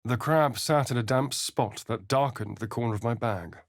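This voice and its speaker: A man's voice: mid-range voice, moderate pace, no room sound, studio quality, close to an everyday voice. A man playing a vain, sardonic vampire rogue in a video game, with an arch, theatrical, drawling delivery. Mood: hushed storytelling. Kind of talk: video game character voice lines.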